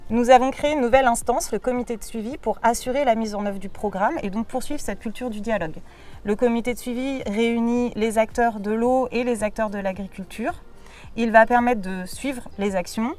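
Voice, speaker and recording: A woman giving a prepared, measured testimonial as a programme coordinator. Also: a little high, fairly even intonation, darkish tone, recorded from a distance, soft background music. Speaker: local water and agriculture programme coordinator.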